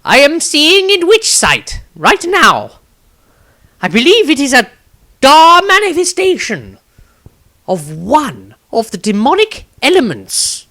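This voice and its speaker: A man voicing an excitable, eccentric wizard with a theatrical, halting delivery in a fantasy audiobook. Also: extremely high pitch, pitched above the performer's own voice, exaggerated voice. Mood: nervous awe.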